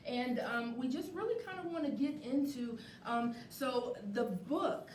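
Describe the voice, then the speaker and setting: A woman's voice: high-pitched, expressive, unhurried, darkish tone. A woman hosting a live talk show and interviewing guests. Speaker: show host. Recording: echoey, distant mic, faint noise.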